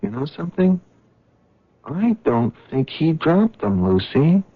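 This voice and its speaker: A man's voice: fairly high pitch, very animated, takes it slowly, slightly rough, exaggerated voice. A man playing an anxious cab driver in a 1970s radio drama, earnest and stammering when upset. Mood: worried. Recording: dull lo-fi old radio broadcast.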